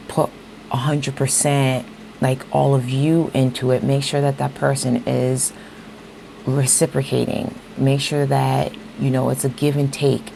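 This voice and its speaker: Very low gravelly-voiced woman reading tarot. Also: warm tone, slight background noise, rough recording. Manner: talks straight to viewers, repeats "okay?" and "you know". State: losing her voice. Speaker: tarot reader. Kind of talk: casual tarot reading to viewers.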